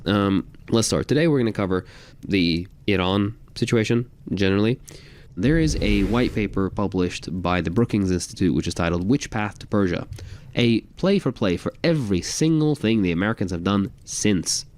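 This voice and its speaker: A man co-hosting a casual podcast, stuffed up with the sniffles, introducing the topic in a relaxed way. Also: lowish voice, moderate pace. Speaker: podcast co-host.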